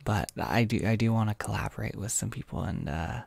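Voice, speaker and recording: Gravelly, husky American man chatting casually. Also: lowish voice, fairly quick, darkish tone, dry recording. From United States. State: short on sleep. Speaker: livestream host. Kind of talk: casual livestream chat.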